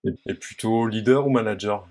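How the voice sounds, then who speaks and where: A young man hosting an interview, firing off quick either-or questions in a friendly, hesitant way, with many filler sounds. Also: on the low side, darkish tone. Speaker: interview host.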